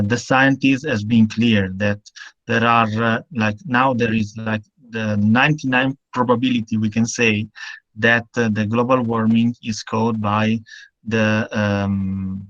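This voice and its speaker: Italian man, halting, on a dull call. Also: medium pitch, fairly bright tone, dry recording. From northern Italy. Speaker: guest speaker on climate action. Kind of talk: online panel discussion answer, unscripted. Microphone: video call. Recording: dull, lo-fi video call audio.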